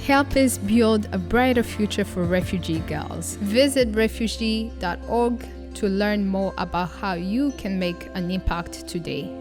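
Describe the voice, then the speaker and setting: A woman's voice: medium pitch, a little slow, few pauses, over music. Solemn woman narrating a charity film. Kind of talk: scripted promotional narration.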